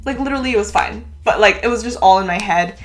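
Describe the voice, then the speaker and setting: A woman's voice: medium pitch, fast. A young woman from California, Cantonese her first language, chatting casually through tag questions in a vlog, with many "like"s. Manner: chatty, filler-heavy, lots of "like". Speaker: YouTuber.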